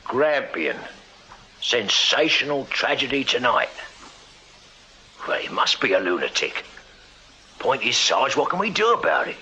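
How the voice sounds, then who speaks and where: An actor playing a plain-spoken, deferential Victorian constable and loyal sidekick in a radio drama, colloquial and blunt. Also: male voice, medium pitch, big pitch swings, unhurried, pauses often, sharp tone, exaggerated voice. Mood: curious, concerned. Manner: colloquial, blunt, hesitant with his superior. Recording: dull, noisy radio drama copy.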